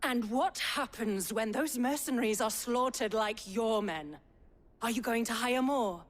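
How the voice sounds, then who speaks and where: Game role: practical, indignant female villager, higher-pitched, forceful arguer, reverberant hall. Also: measured pace. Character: indignant, practical townswoman arguing her case. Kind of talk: dramatic game cutscene acting.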